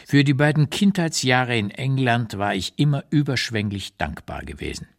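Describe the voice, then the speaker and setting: An actor playing a blunt, opinionated old émigré writer who looks back in a deliberate, emphatic literary monologue for a radio play. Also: male voice, medium pitch, animated, vocal fry. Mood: solemn remembering.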